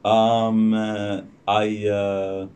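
A young man, a podcast guest talking casually and hesitantly with many pauses and restarts. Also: on the low side, little pitch movement, a little mellow, clear, resonant tone. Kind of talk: casual interview chat. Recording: distant mic with faint noise.

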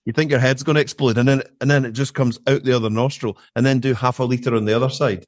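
A man's voice: medium pitch, fairly even intonation, fast, no echo, clean studio sound. A middle-aged British man chatting casually as an interview guest, telling stories with emphatic repetition and colloquial asides. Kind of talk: casual conversation, anecdotal.

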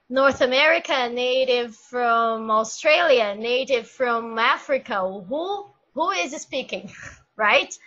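A woman whose first language is Portuguese speaks English with a Brazilian accent, pausing to think aloud as she hunts for her words. Her voice is high and her delivery unhurried. The recording sounds dull and lo-fi. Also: a bit bright. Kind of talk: casual discussion.

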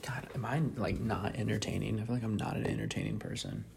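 Man, low voice with creaky fry, hesitant, self-deprecating casual talker; noticeable background noise. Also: very animated, quick pace. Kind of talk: casual rambling chat, with some reading aloud from a book.

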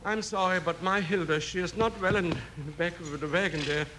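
An actor playing an anxious, polite settler in a Western radio drama. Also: male voice, high voice, a little fast, close to an everyday voice. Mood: apologetic, polite. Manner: nervous, deferential, excitable. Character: anxious, polite immigrant settler guarding his family. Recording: noisy old radio recording.

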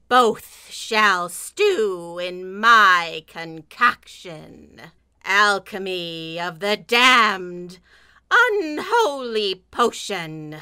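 A woman's voice: medium pitch, bright, forceful tone, smooth, no echo, exaggerated voice. A woman playing a sly, middle-aged witch shopkeeper in comedic radio theatre, switching between grand incantation and dry, menacing salesmanship. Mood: grand, incantatory.

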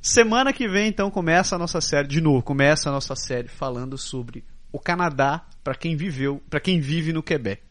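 A man from Pará with a Paraense Brazilian accent, co-hosting a casual podcast chat in a joking, quick back-and-forth manner. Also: a little high, no echo. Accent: Paraense Brazilian Portuguese. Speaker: podcast co-host.

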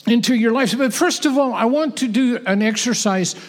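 A man preaching a sermon to a gathered audience, emphatic and repetitive, with halting restarts. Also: very high voice. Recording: long hall reverb, voice off-mic.

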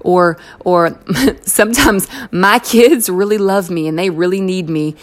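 A woman's voice: lowish voice, unhurried. Encouraging American woman speaker. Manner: conversational, encouraging, emphatic repetition. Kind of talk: inspirational talk to an audience.

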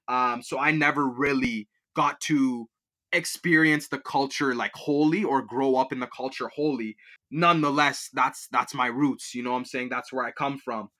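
Young man, bright voice, mid pitch, rambling interview answers, lots of restarts. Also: fairly even intonation, moderate pace. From Liberia, raised in Kenya and Canada. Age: young adult. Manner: rambling, many restarts and fillers. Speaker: student guest.

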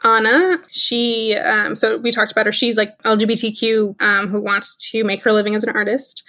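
A woman's voice: a little high, flat delivery, bright, forceful tone, dry recording, low-quality recording. A woman whose first language is Spanish, chatting casually as a podcast co-host, with lots of "like" fillers. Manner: chatty, many "like" fillers, quick asides. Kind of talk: casual chat reviewing a TV series.

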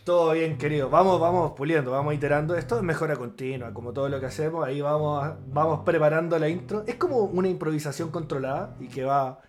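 A man's voice: on the high side, quick pace, few pauses. A man co-hosting a podcast, chatting casually. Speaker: co-host. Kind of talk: casual chat. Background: guitar music.

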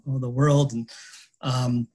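A middle-aged man who grew up in Canada, answering interview questions thoughtfully with frequent pauses and restarts. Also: medium pitch, flat delivery, husky. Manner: hesitant, many fillers and restarts, laughs softly. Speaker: interview guest.

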